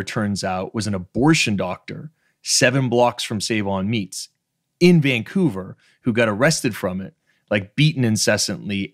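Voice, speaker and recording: Podcast host, man in his thirties, from the Maritimes, low voice, dry close studio mic, frank and emphatic. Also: no echo. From Canada's Maritimes. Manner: frank and emphatic, swears freely. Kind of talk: casual interview chat. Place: home room with bookshelves, video call. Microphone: studio microphone on stand.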